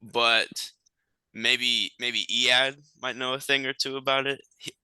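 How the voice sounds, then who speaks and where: A man's voice: medium pitch, flat delivery, slow pace, pushed and bright, clear, resonant tone, no echo, poor audio. A young man student answering questions on a video call, hesitant with many ums. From United States.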